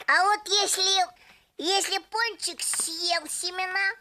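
A woman playing a boastful, naive little boy space traveller in an animated film, eager and childlike. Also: very high-pitched, slow pace, a little hiss or hum, full character voice. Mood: earnest.

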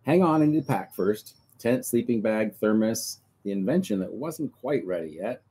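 A man's voice: fairly low pitch, lively intonation, normal speed, warm tone, breathy edge. A man voicing a patient, kindly old farmer in a storybook reading. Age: older. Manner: patient, a little fussy.

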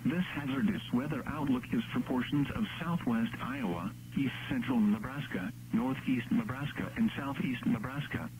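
A computer-generated man's voice reads scripted weather bulletins as an automated radio announcer. The pitch is average and the delivery is measured and impersonal. The radio broadcast carries a faint noise and hum. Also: a little mellow, low-quality recording. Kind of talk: synthetic scripted weather forecast reading.

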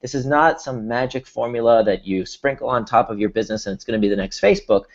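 A man's voice: medium pitch, expressive, quick pace. An Israeli-born man with an American accent, presenting a workshop over a video call, explaining steadily and restarting with repeated words. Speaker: workshop speaker. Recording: dull lo-fi video call audio.

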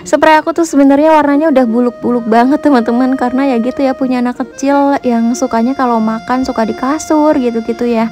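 A woman in her thirties talks viewers through her housework in a friendly, chatty voice-over, often turning to them directly. Her voice sits a little high for a woman. Steady background music plays under her words. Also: fast, few pauses. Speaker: housewife vlogger.

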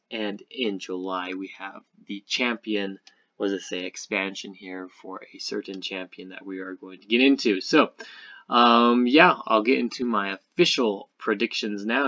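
Low-voiced man, halting gaming commentary. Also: a bit bright. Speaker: gaming video creator. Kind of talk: casual card game prediction commentary. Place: voice-over recorded over screen capture. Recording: dull, muffled lo-fi microphone sound.

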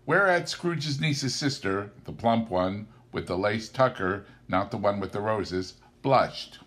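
A man reading a classic story aloud as an audiobook narrator, in an expressive, theatrical storytelling manner. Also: lowish voice, slow. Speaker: audiobook reader.

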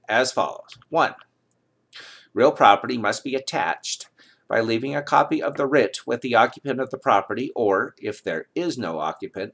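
A man in his sixties reading formal legal text aloud, enunciating numbers and citations deliberately. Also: mid-range voice, fairly bright tone. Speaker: reader of his own legal reference book. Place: home study lined with bookshelves, indoors.

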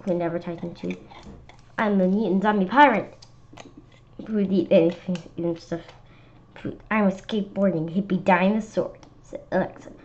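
A woman reading a children's book aloud slowly, stumbling and restarting, with lively voices for the characters. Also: mid-range voice, slight background noise. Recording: slightly dull lo-fi sound.

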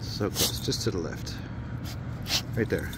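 A man's voice: deep voice, takes it slowly, heavy background noise. A man, a dog trainer, talking playfully to his dogs on a beach at night, recorded on a handheld camera. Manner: coaxing, playful talk to dogs. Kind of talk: casual talk to his dogs.

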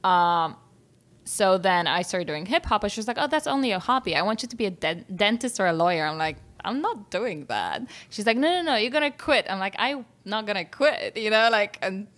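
Animated Polish-accented woman. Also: medium pitch, a little fast. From Poland. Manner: laughs often, acts out quotes with animated voices. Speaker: guest actor. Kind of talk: casual interview chat. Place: small home podcast studio, indoors. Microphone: boom mic, headphones.

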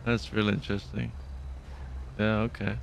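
A man's voice: lowish voice, flat delivery, normal speed, vocal fry, dry recording. A man making awkward small talk on an improvised, in-character phone call in a role-play game. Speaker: gamer playing a biker role-play character.

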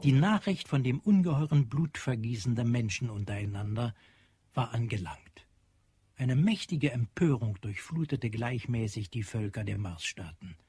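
Slightly husky male narrator, dry sound. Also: mid-range voice, lively intonation, moderate pace, dark tone, no echo. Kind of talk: dramatic scripted literary narration.

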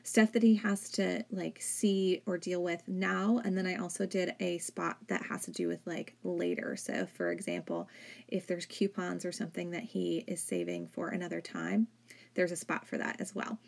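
A woman's voice: on the low side, big pitch swings, moderate pace, vocal fry. A woman in her thirties, a home vlogger, narrating casually over her cleaning footage, chatty and full of "like". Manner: chatty, filler-heavy with frequent "like". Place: home, indoors.

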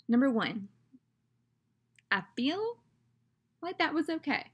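A young woman with a Southern drawl chats to her webcam, rambling along with plenty of "like"s. She is at home in a small indoor room, recorded through a laptop camera. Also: mid-range voice. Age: young adult. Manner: chatty, rambling, fillers like "like". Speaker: vlogger. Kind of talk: casual talk to camera.

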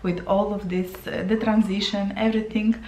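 A woman in her thirties from Moldova, speaking English with a Romanian accent, chatting to camera while painting her face, often pausing for words. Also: medium pitch, level tone, a little mellow, roomy sound, slight background noise. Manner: chatty, searching for words, with fillers. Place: small room indoors.

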